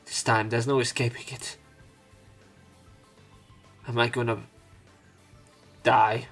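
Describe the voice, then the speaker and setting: A man's voice: medium pitch, little pitch movement, takes it slowly, a bit bright, faint music behind. A man hosting a let's play, reading visual novel narration aloud in a dramatic way, with commentary. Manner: acts out lines and exclamations.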